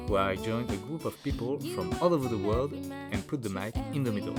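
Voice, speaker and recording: A man with a French accent, hosting a travel podcast and asking questions in slightly halting English. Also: medium pitch. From France. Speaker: podcast host. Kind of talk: podcast intro and casual chat. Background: guitar music under the intro.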